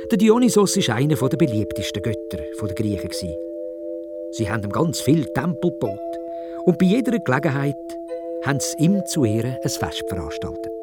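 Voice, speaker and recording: A man with a Swiss German accent telling a children's story in dialect, expressive and playful. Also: medium pitch.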